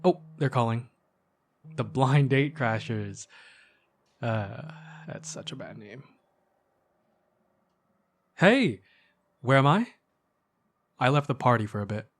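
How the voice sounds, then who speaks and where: Guy acting as a sweet caring young male best friend, asmr roleplay, mid pitched, chatty n kinda hesitant, earnest. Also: animated, slow pace, long pauses, slight background noise. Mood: casual, apologetic. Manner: soft-hearted, hesitant, casual chatty phrasing. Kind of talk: ASMR roleplay, intimate one-sided conversational acting.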